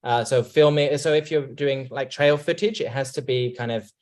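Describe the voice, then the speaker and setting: A man's voice: mid-range voice, steady tone, normal speed, a little mellow. A man from England, a company guest on a video call, speaking casually and hesitantly with many restarts.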